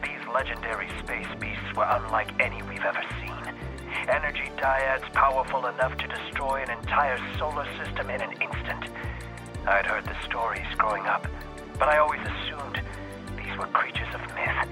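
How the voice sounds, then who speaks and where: An actor playing a solemn male hero who tells of galactic legend in a recorded audio drama message, grave and hushed. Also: mid-range voice, moderate pace, music in the background, close to an everyday voice, low-quality recording. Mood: grave, urgent.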